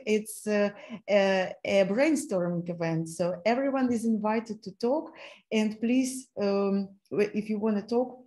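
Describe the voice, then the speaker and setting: A woman in her thirties with a Ukrainian accent hosts an online workshop from her laptop on a video call. Her voice is mellow and warm, and she speaks haltingly, with frequent fillers and restarts. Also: medium pitch. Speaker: host of an online learning event. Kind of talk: hosting a video call presentation. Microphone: laptop mic on a video call.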